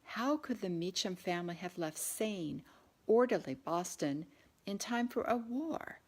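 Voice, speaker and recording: A woman's voice: mid-range voice, expressive, a little slow, darkish tone. A woman reading her own novel aloud in an audiobook narration style.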